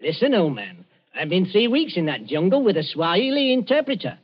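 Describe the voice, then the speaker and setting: Cocky london stage magician, high husky voice swooping about, breezy patter, muffled old radio play. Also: male voice, sing-song, fairly quick, full character voice. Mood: brisk, cocky. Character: brash cockney showman magician posing as witch doctor. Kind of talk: old-time radio drama acting.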